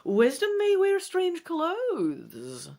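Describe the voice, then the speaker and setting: Woman playing a high, swooping, mysterious old shopkeeper. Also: huge pitch swings, very slow, warm tone, full character voice. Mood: kindly, wise. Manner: mysterious, theatrical. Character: tall, mysterious, kindly elderly female bookshop keeper. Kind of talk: dramatic gamebook reading.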